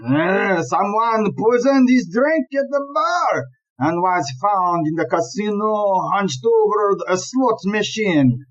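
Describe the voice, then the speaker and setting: A woman playing an officious, measured bearer of grim news in an audio play, on a dull lo-fi recording. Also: medium pitch, lively intonation. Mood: pointed, grave. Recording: dull, lo-fi sound.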